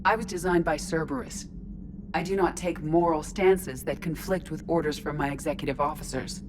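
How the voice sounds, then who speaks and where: An actress playing a curious, logical artificial intelligence in a robot body in a video game, speaking formally, literally and with composure. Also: female voice, low-pitched, moderate pace, noisy background, close to an everyday voice. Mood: earnest, inquiring. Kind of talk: video game dialogue acting. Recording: clear ship ambience behind voice.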